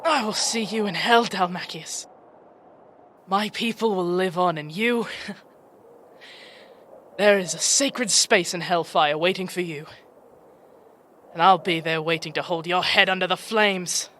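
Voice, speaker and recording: A woman's voice: fairly low pitch, unhurried, pauses often, a bit bright, a little hiss or hum. A woman playing a noble, defiant demon chieftain in a dramatic audio roleplay, speaking in solemn commands. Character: noble, defiant female demon chieftain, self-sacrificing leader.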